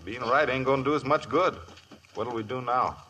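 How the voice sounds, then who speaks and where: An actor playing a wry, complaining cowboy sidekick in an old radio western, with a sardonic delivery. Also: male voice, mid-range voice, normal speed, bright, forceful tone, lo-fi sound, lightly acted. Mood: wry, grumbling. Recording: faint noise, old radio recording.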